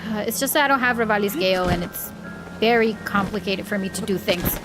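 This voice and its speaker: Woman; talkative streamer narrating her own play over loud game music. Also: mid-range voice, brisk. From Mexico. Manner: chatty, thinks aloud while playing, thanks viewers. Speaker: live streamer. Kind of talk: casual live gameplay stream chat. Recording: game music playing behind the voice. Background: video game music.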